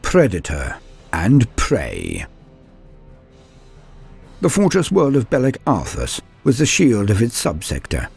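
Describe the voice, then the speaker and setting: A man's voice: on the low side, wildly swooping pitch, slow pace, vocal fry, long pauses, darkish tone, slightly rough, faint music behind. A man giving a grave, theatrical reading of science fiction war lore as a scripted dramatic narration.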